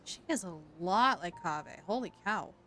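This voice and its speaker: A woman's voice: medium pitch, lively intonation, moderate pace, bright, forceful tone, soft background music. A woman live-streaming a game, commenting in a playful, exclamatory way. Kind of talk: live playthrough commentary with playful role-play.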